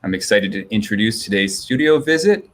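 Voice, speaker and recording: A man's voice: on the low side, lively intonation, brisk. A man hosting for a museum, reading a scripted introduction with announcements. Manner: reads announcements steadily. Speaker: museum host.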